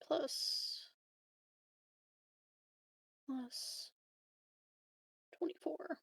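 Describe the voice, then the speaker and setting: A woman's voice: sits high, extremely slow, vocal fry, a bit bright, no room sound. A woman chatting casually as a player in a tabletop game. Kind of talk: casual chat.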